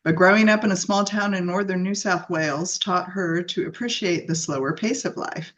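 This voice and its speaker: A woman's voice: lowish voice, normal speed, fairly bright tone, muffled recording. A woman from Dallas, co-hosting a book podcast and reading a guest's bio aloud in a bubbly, laughing way. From Dallas, Texas, USA. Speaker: podcast co-host.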